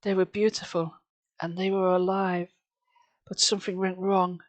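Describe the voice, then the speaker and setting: A reader voicing a sad, bitter male mask-shop owner who speaks softly and slowly, in a storybook reading. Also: medium pitch, flat delivery, pitched above the performer's own voice, natural voice. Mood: sad, hushed. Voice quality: soft, hushed.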